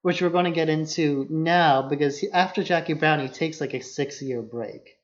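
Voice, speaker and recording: A man's voice: a little high, normal speed. A man co-hosting a podcast, explaining film matters in an informal, casual discussion. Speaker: podcast co-host. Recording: dull, muffled lo-fi podcast audio.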